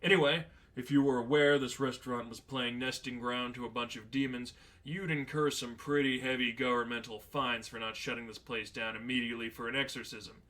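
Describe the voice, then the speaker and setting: A man's voice: medium pitch, fairly even intonation, fairly quick, few pauses, pushed and bright, close to an everyday voice. A man playing a sardonic, unflappable veteran demon hunter in a story reading, matter-of-fact and flippant. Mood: unamused, sardonic. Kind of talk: dramatized fan fiction reading.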